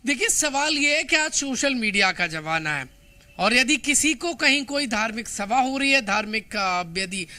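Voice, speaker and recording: An Indian man reports live for TV news in a very high, sharp and forceful voice. He comments emphatically as he goes, giving opinions alongside the facts. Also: expressive, moderate pace.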